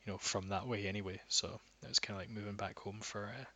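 Bright-voiced man, flat delivery. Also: on the low side, fast, no echo. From Scotland. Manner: rambling, frequent restarts and fillers. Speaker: guest, metal band guitarist. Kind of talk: casual podcast chat.

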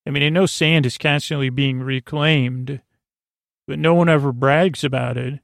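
A man hosting a bedtime podcast in a creaky voice, meandering soothingly through halting false starts and tangents. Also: mid-range voice, fairly even intonation, no echo, clean studio sound. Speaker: host of a bedtime sleep podcast. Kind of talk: rambling, soothing bedtime monologue.

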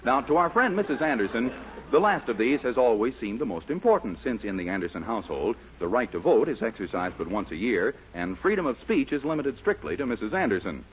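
A man's voice: medium pitch, sing-song, fairly quick, slight background noise. An American man announcing on 1940s radio in a polished, emphatic salesman's style. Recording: muffled vintage 1940s radio broadcast.

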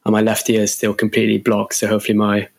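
A man's voice: lowish voice, monotone, racing, creaky. A man co-hosting a casual football podcast, sounding a bit nasal from a cold. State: stuffy nose from a cold. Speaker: podcast co-host.

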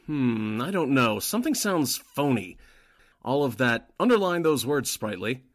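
A man's voice: mid-range voice, very animated, a little slow, no echo, clean studio sound. A man playing a suspicious, cautious Nazi henchman in a 1940s-style radio drama.

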